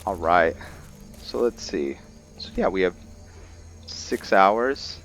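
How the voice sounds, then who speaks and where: A man giving casual commentary on a game roleplay, thinking aloud in an unhurried way. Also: low voice, animated, a bit bright, soft background music. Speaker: gamer narrating roleplay playthrough.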